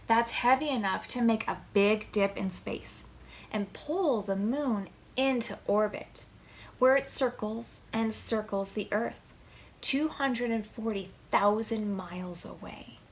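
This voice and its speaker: A woman hosting a preschool storytime, reading a picture book aloud with deliberate, expressive phrasing for small children. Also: medium pitch.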